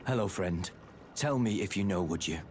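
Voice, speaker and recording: A man playing a grim, formal nobleman in a video game, speaking gravely. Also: sits low, normal speed, slight background noise, close to an everyday voice. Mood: grim, restrained. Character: grim, formal nobleman seeking his enemies. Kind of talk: video game character acting.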